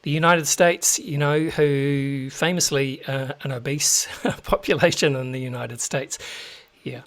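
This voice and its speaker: A man's voice: mid-range voice, steady tone, a little slow, a bit bright. A man with a New Zealand accent hosting a show, talking casually to listeners with lots of fillers and restarts. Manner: chatty, many fillers and restarts. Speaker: host.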